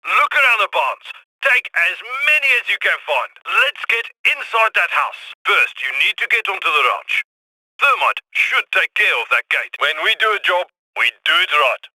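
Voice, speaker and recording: An actor voicing a brisk heist planner, giving crisp commands in dry, close studio lines. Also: male voice, high voice, very animated, bright, forceful tone, no room sound, heavily acted, low-quality recording. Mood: commanding. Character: brisk male heist planner on comms, British. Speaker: mission handler. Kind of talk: video game voice lines.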